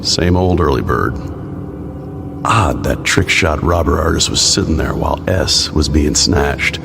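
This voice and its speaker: Gravelly, low-voiced agent. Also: male voice. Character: weary, dogged American male agent, Bond's partner. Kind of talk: radio drama acting.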